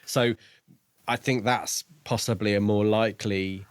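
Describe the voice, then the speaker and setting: A man co-hosting a podcast, discussing a book casually in a measured, musing way. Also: fairly low pitch, fairly even intonation, clean tone, no echo, studio quality.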